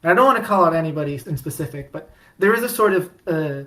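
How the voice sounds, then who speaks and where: Rambling, eager woman with a low voice. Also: expressive, fast talker, fairly bright tone. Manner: rambling, lots of restarts and fillers; enthusiastic and pleading. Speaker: podcast co-host. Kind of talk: casual fandom chat.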